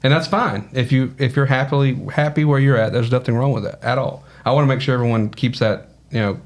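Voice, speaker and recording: A man's voice: mid-range voice, fairly quick. A man with a Southern US accent, a podcast guest talking in an easygoing, rambling way. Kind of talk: casual podcast conversation.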